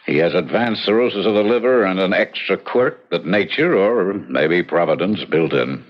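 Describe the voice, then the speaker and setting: A grave surgeon in a radio play, matter-of-fact in tone. The voice is fairly low and swings widely in pitch, on a dull vintage recording. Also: male voice. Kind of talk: old-time radio drama acting. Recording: muffled old radio drama recording.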